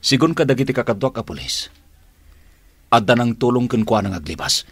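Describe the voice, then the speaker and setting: Husky commanding Filipino police chief. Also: male voice, mid-range voice, lively intonation, brisk, dry recording. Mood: firm, official. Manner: authoritative, clipped official commands. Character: commanding male police chief leading a manhunt. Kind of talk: Filipino radio drama acting.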